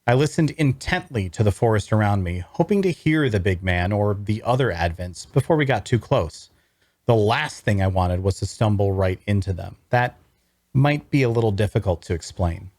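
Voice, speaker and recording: A man playing a brooding adventurer, narrating his grim journey in a measured, deliberate way at a scripted table read. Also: fairly low pitch, expressive, darkish tone, no echo.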